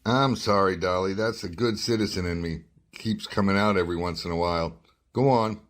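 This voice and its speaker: An actor playing a dogged newspaper reporter in a radio detective drama, probing and wry in a hard-boiled way. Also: male voice, low voice, a little fast, lightly acted. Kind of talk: radio detective drama acting and first-person narration.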